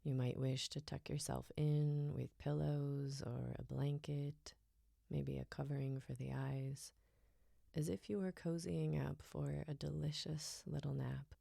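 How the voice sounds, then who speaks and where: A woman guiding a relaxation meditation in a soft, soothing, unhurried way, her phrases often trailing off breathily toward a whisper. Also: low voice, level tone, vocal fry, dry recording, studio quality. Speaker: yoga nidra guide.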